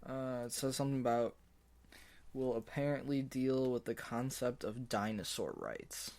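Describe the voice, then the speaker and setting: A man's voice: mid-range voice, expressive, normal speed, darkish tone. A man co-hosting a podcast in casual chat, laid-back and brief in what he says. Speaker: podcast co-host.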